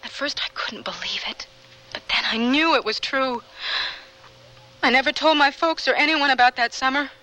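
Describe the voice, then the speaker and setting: An actress playing a troubled young woman with a guilty past, confessing earnestly and haltingly in a radio drama. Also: high-pitched, sharp tone, poor audio. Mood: haunted, intense.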